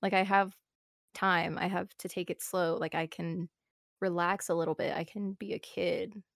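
A woman's voice: fairly low pitch, level tone, creaky, dry recording, clean studio sound. Young woman chatting earnestly, lots of likes. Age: young adult. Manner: fast, filler-heavy, earnest talk. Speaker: guest streamer. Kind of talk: casual chat.

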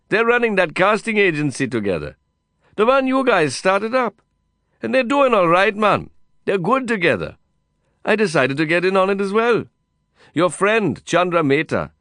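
A man voicing an exuberant, foul-mouthed, friendly Indian man with an Indian accent and excitable, slangy, cajoling speech in an audiobook. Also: sits high, no room sound, studio quality, voice pushed up. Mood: excited, boastful.

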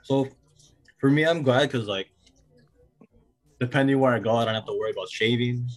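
A man hosting a casual podcast, relaxed and laid-back as he asks his friends questions. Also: mid-range voice, moderate pace, poor audio. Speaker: podcast host. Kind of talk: casual chat.

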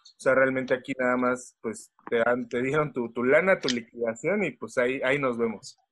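A man from Mexico City with a Mexican accent, a podcast guest who talks haltingly over a video call. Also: mid-range voice, a bit bright, a little hiss or hum. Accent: Mexican Spanish. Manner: halting, many fillers and restarts. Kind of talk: casual chat.

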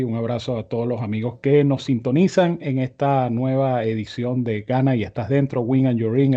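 A man giving horse racing analysis as co-host, measured and explanatory with formal phrasing, on slightly dull audio. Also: medium pitch, warm tone. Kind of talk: racing analysis and tipping commentary.

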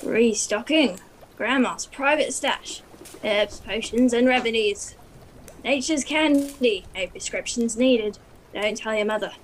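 A woman's voice: a little high, slow pace, slight background noise, poor audio. A woman playing an eccentric old grandmother in a stage duologue, delivering dry, sardonic quips.

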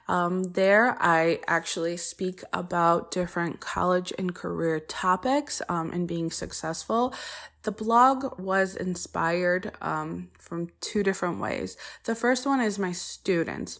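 Woman host, earnest, rambling solo intro, low-ish voice. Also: a bit bright. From United States. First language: Polish. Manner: earnest, chatty, frequent ums and side-tracks. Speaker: podcast host.

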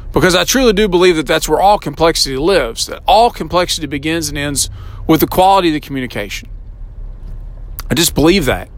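A middle-aged man with a slightly raised pitch and a hoarse voice talks earnestly through a solo podcast. He slows down on key points and keeps checking in with a "right?". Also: a bit bright. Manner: earnest, repeats words and asks "right?". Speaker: podcast host. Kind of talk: solo motivational podcast talk.

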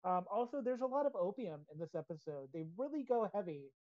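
A woman's voice: mid-range voice, very animated, brisk, no echo, muffled recording. A woman with an American accent chatting casually about pop culture as a podcast co-host.

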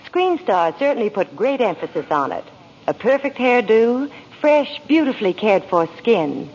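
A woman delivers scripted commercial chat in 1940s radio style. Her voice is slightly rough and very animated in pitch. The old recording is dull. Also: normal speed, long reverb tail. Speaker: commercial spokeswoman.

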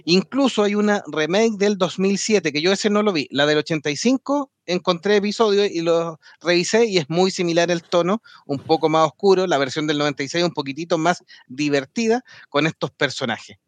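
A man chatting on an anime podcast, his voice sitting a bit high for a man. He rambles, laughs readily and restarts his sentences, recorded close with no room sound. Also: a little fast, hardly any pauses, no room sound. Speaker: podcast co-host. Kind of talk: casual anime talk with co-hosts.

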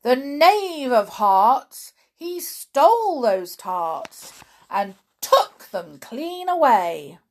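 A woman performs a fussy rabbit herald from a storybook, high-pitched and officious. He draws out his proclamations slowly, as if every word were official. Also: very animated, full character voice. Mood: proclaiming, officious. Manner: proclaiming, officious, drawn-out cries.

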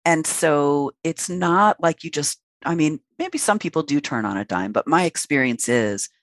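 A man's voice: fairly high pitch, lively intonation, moderate pace, fairly bright tone, no echo, studio quality. Frank, reflective man in his late forties. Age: late 40s. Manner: reflective and frank, talks at length with fillers. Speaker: podcast guest. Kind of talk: candid interview conversation.

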